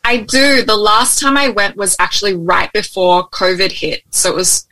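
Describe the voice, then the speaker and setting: A woman's voice: medium pitch, normal speed, bright, forceful tone. A young adult woman from Australia with an Australian accent tinged with American, chatting openly as an interview guest with ums and restarts. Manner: open, friendly, with frequent ums and restarts. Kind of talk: casual interview conversation. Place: at home in Hawaii, remote call.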